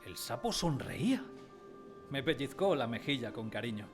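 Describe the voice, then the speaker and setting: A man playing a sensitive grown man who recalls his timid boyhood, in expressive, dramatised first-person storytelling. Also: medium pitch, moderate pace. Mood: tender, calm. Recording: prominent music behind the voice.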